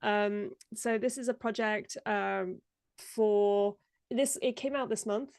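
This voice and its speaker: A woman's voice: medium pitch, steady tone, takes it slowly, a little mellow, clear, resonant tone, no room sound, clean studio sound. A young woman, a video editor guesting on a live stream, chatting casually and laughing often while sounding stuffed up from a cold. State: congested, stuffed up.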